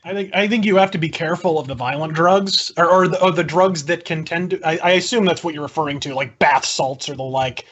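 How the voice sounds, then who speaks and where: A man's voice: fairly high pitch, fairly quick, hardly any pauses, a bit bright, highs cut off. A man in a political debate, arguing his case in wordy, articulate sentences. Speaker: debate guest. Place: indoor room, video call.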